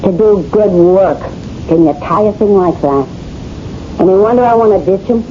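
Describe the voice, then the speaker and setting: A woman's voice: mid-range voice, normal speed, lightly acted. An actor playing a brassy, flirtatious wife fed up with her husband, in slangy, wisecracking 1970s radio drama style, played by a woman. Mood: sardonic, flirtatious. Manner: slangy, wisecracking, ungrammatical delivery. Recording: muffled, hissy old radio broadcast recording.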